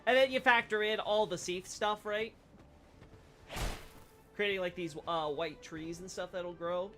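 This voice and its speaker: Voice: medium pitch, steady tone, measured pace, pushed and bright, faint music behind. A streamer casually explaining game lore while playing, rambling with lots of "you know" and "right?".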